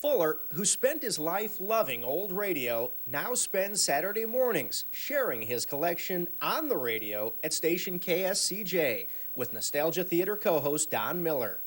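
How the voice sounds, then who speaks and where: A man's voice: fairly high pitch, sing-song, unhurried, few pauses. A man narrating a scripted television news feature as a reporter. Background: music.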